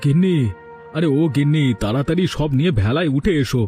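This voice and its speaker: A man's voice: fairly high pitch, lively intonation, brisk, dark tone, soft background music, natural voice. A man playing a responsible village father urging his family on in a fairy tale cartoon. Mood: urgent.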